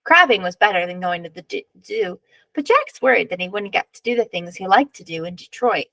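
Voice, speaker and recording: Creaky-voiced woman reading a picture book. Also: mid-range voice, animated, fairly quick, fairly bright tone, no room sound, rough recording. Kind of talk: expressive read-aloud narration.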